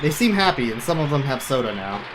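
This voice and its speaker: A man's voice: mid-range voice, very animated, fast, background noise. A man giving casual, wry, offhand commentary on a live video game speedrun. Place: live gaming event stream with game music behind.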